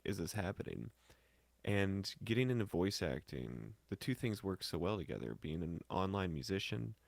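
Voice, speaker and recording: Deep voiced guy with vocal fry, interview guest chatting casually, dry close audio. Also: no room sound. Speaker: interview guest, musician and voice actor. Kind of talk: casual, reflective interview chat.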